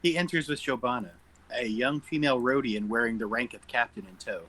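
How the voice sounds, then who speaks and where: Husky man reading stage directions. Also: medium pitch, brisk, sharp tone, rough recording. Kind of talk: script table read narration.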